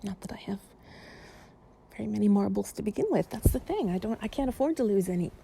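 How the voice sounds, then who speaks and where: A woman playing an affectionate, playful girlfriend in an improvised bedtime cuddle audio, speaking softly and breathily, often near a whisper, in a rambling way. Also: medium pitch, lively intonation, fast, vocal fry, a little hiss or hum. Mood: chatty, playful. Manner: soft, rambling, affectionate, giggly. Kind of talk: improvised intimate relaxation roleplay audio, ASMR-like.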